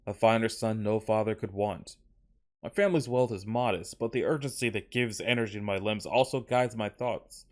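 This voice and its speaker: A man performs a tormented veteran turned obsessed inventor in a horror tale. The voice sits fairly low. The delivery is solemn and dramatic, like a confession written in a journal. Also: fairly even intonation, moderate pace, close to an everyday voice. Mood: obsessive. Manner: dramatic, solemn first-person reading.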